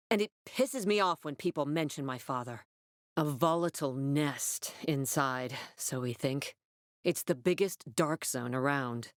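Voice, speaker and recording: Low-voiced, blunt woman officer, acted. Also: dry recording, studio quality, close to an everyday voice. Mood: grave, guarded. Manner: blunt, commanding, curt. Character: tough, blunt, no-nonsense female peacekeeper officer. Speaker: military officer character in a video game. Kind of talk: video game dialogue acting.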